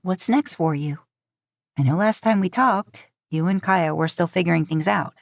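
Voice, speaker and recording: A woman voicing a caring, slightly dry middle-aged foster mother, gentle and probing, in an audio story. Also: lowish voice, normal speed, vocal fry, no echo. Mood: curious. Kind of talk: fan fiction audio story reading.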